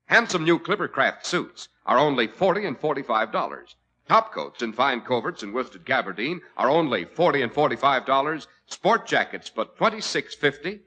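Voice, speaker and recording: Bright, animated American vintage radio announcer man. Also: medium pitch, moderate pace, husky. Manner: polished, emphatic salesman delivery. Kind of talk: 1940s radio announcing and sponsor reads.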